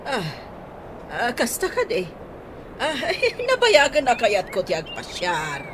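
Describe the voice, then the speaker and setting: A woman's voice: fairly high pitch, wildly swooping pitch, slow pace, noisy background, exaggerated voice. A woman playing a hospitable auntie who loves outings, with an Ilocano accent, in a radio comedy drama. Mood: jolly.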